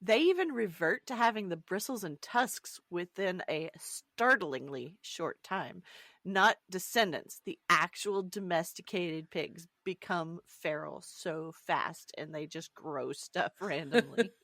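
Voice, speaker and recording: A woman with a slightly low voice leads casual talk about books as a co-host. She is playful and wry, in a close, echo-free recording. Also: lively intonation, takes it slowly, a bit bright. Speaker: podcast co-host. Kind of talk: casual book discussion.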